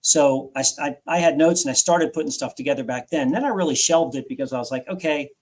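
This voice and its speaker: A man's voice: a little high, fast talker, a little mellow. A man in his forties, a guest author recounting his own story in an interview, earnest and reflective, often restarting phrases.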